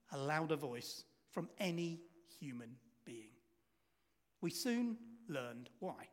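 A man preaching a sermon, telling a story and asking the congregation rhetorical questions, his volume swinging from loud to hushed. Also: a little high. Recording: reverberant hall.

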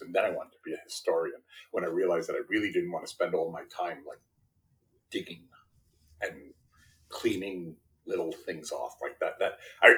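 A man's voice: low voice, fairly bright tone, rough recording. A man in his fifties from Brooklyn, New York, whose first language was Spanish, talking casually as an interview guest and checking in often with "right?". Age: 50s. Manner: self-deprecating, checks in often with tag questions.

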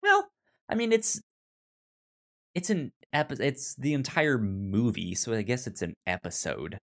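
A man co-hosting a casual film discussion podcast, talking in a halting, stammering way with repeated words and trailing phrases. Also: medium pitch, very animated, fairly bright tone. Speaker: podcast co-host.

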